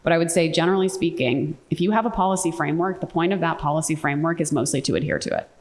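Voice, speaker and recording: A woman on a conference panel, speaking emphatically and quickly, with frequent "like" and "right?". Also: deep voice. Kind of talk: panel discussion remarks. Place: conference hall. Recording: reverberant conference hall.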